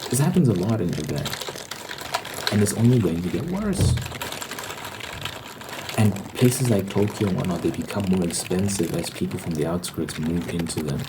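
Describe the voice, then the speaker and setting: Casting: man, low-pitched, ASMR rambler, explanatory and opinionated, repeats himself for emphasis, noticeable background noise. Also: expressive, normal speed, pauses often. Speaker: host of a rambling ASMR channel.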